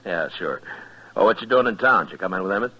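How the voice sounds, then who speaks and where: Deep, husky frontier marshal. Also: male voice, animated, bright, forceful tone, full character voice. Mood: easygoing. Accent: American. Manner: blunt, deliberate, hard-edged. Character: stern, weary frontier marshal. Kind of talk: 1950s radio western acting. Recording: dull vintage radio recording.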